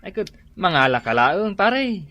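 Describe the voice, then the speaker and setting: A man's voice: sits high, sing-song, a little slow, sharp tone, muffled recording, heavily acted. A man with an Ilocano accent playing a blunt, teasing construction workmate in a comedy radio drama.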